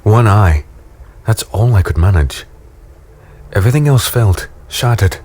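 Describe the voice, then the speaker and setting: A man playing a battered, weary warrior who narrates a dark fantasy audio drama in a grim, halting, brooding way. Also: fairly low pitch, lively intonation. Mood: pained, grim. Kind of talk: dramatic first-person scripted narration. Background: music.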